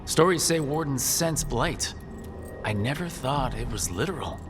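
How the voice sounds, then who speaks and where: A male actor voices a wry young adventurer in a fantasy game. He is plain-spoken and steady, with a dry, understated delivery and a medium pitch. Music plays clearly behind him. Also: measured pace, close to an everyday voice. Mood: wary. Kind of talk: video game voice acting.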